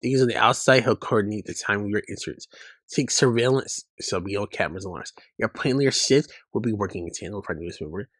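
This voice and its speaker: Guy acting out story dialogue, bright forceful voice, really dry close sound. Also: on the low side, fast talker, no room sound.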